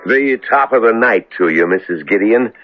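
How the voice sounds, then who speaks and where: A muffled old radio recording of a playful hospital doctor with a lilt. His lowish voice swings widely in pitch as he gossips. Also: animated, moderate pace, soft background music. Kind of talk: 1970s radio drama acting.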